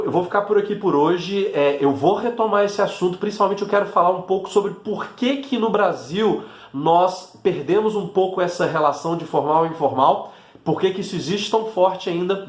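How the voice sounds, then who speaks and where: A Brazilian man with a Minas Gerais accent gives a relaxed video language lesson. He talks in a chatty way, with fillers and restarts. His voice sits a little high and rings in an echoey room. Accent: Brazilian Portuguese, Minas Gerais. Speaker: Italian teacher.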